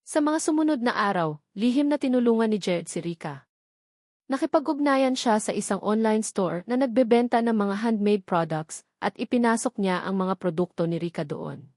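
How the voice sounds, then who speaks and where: A woman's voice: medium pitch, darkish tone, dry recording, studio quality. A woman reading a scripted romantic drama as a story narrator.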